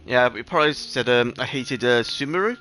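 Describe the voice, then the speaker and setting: A man chats casually with his stream viewers as he plays. His voice is bright and forward, his delivery halting and offhand. Game music sits faintly behind him. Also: medium pitch. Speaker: live streamer. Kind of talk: casual chat with viewers while playing a game.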